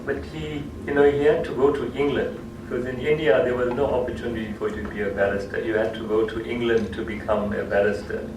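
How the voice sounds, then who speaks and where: A man whose first language is Gujarati tells an anecdote at a cultural talk, repeating and restarting phrases as he goes. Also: mid-range voice. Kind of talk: storytelling lecture. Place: hall. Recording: echoey hall, distant mic, noisy.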